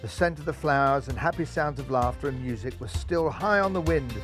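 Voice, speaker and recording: An older man reading a children's picture book aloud, lively, lifting his voice for the characters. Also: brisk, music in the background. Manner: lively, raises pitch and volume for characters' lines. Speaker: storybook reader. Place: living room, seated in armchair.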